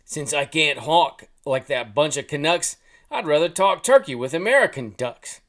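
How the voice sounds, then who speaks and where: A man voicing an excitable, indignant talking goose in a storybook tale, with a New Jersey accent and a loud, emphatic delivery. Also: a little high, moderate pace, pitched above the performer's own voice. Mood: proud, grumbling.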